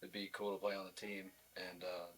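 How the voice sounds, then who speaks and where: Deepish, monotone young sportsman. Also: a little fast, a little hiss or hum. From United States. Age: young adult. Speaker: baseball player, pitcher, interview guest. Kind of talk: casual interview chat.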